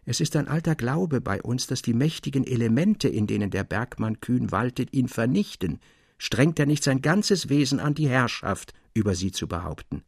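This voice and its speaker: A man reading the part of an earnest middle-aged mine master in a storybook, with grave, formal, measured speech. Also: medium pitch, lively intonation, vocal fry, a little mellow, husky, no echo, studio quality. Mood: solemn, earnest.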